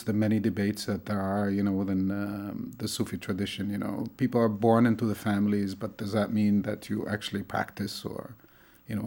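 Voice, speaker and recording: A middle-aged man from Tunisia, a lecturer, talking thoughtfully in an interview, with many pauses and fillers. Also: lowish voice, gravelly, darkish tone, slightly rough. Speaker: lecturer in Arabic studies. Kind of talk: conversational interview.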